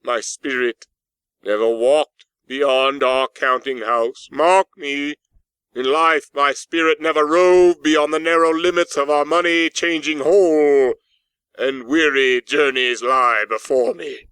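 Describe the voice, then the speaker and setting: A mournful ghost in chains, acted by a man, declaims very slowly and solemnly. The words are drawn out with woe, as in a dramatic storybook reading, and the recording is dry. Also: on the high side, fairly even intonation, fairly bright tone, clear, resonant tone, dry recording, pitched above the performer's own voice, low-quality recording. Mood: mournful, anguished.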